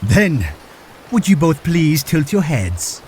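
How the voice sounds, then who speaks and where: A man's voice: a little high, very animated, slow, darkish tone, slight background noise. A man playing a cheerful fish-man prince with grand, theatrical flair in an audio drama. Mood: ceremonious.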